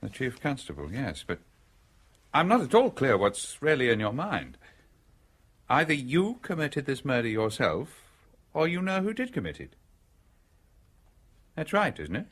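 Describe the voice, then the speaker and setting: A man's voice: mid-range voice, huge pitch swings, measured pace, pauses often, fairly bright tone, husky, full character voice. A British actor playing a shrewd, sardonic man probing a murder in a radio drama, with a dry, ironic manner.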